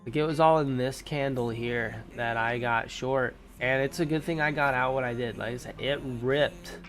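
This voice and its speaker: A man's voice: medium pitch, fairly even intonation, normal speed, fairly bright tone, faint music behind. A young man hosting a live stream in casual, slangy chat, sounding stuffed-up and nasal from allergies. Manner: laid-back slangy chatter. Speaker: livestream host.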